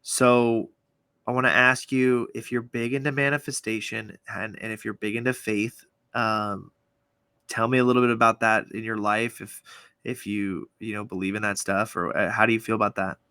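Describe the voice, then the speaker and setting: A man's voice: on the low side, level tone, moderate pace, bright, forceful tone, smooth, no room sound. A man hosting a podcast interview, hyped and enthusiastic, casually swearing. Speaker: podcast host.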